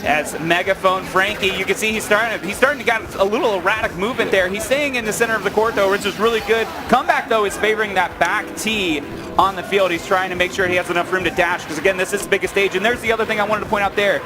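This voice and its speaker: Man, high voice, esports commentator, live play-by-play, excitable hype delivery, music in the background. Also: quick pace. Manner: excitable, hyping up the players.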